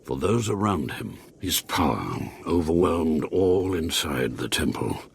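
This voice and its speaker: An actor playing a weary, sardonic Imperial temple warden in a video game, formal and theatrically grave. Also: male voice, a bass voice, big pitch swings, measured pace, gravelly, husky, heavily acted.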